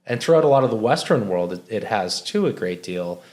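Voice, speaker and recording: Low-voiced man, earnest interview guest. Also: big pitch swings, brisk, a little mellow. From Minnesota, United States. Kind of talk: conversational interview.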